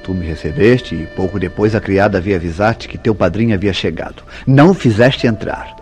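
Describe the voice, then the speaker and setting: A man playing a jealous, temperamental Brazilian husband in a radio soap opera. His voice sits low and he questions with formal, theatrical suspicion. Music plays behind him. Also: brisk, a little mellow, natural voice. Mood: accusing, suspicious.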